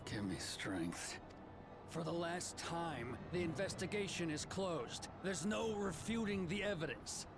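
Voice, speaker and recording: A woman's voice: lowish voice, lively intonation, measured pace, over music, voice pushed down. A woman voicing a weary, haughty male frontier deputy in a fantasy game, pompous and exasperated.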